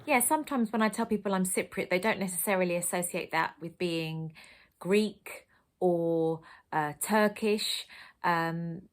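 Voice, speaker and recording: A woman's voice: fairly low pitch, unhurried. A woman answering an interview question in a casual, easygoing way.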